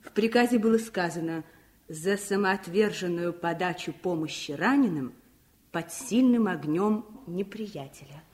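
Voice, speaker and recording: Woman as a proud, lower-voiced mother. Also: unhurried, darkish tone, lightly acted. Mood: proud, tender. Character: proud mother gently teaching her child about family. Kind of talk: Soviet radio play acting.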